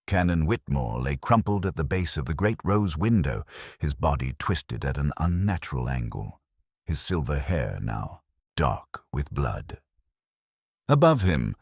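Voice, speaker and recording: Super deep guy reading a mystery audiobook, measured and dramatic, voice moves up and down a lot, dry close sound. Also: husky, dry recording. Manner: measured, literary, dramatic storytelling. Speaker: audiobook narrator. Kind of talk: scripted mystery story narration.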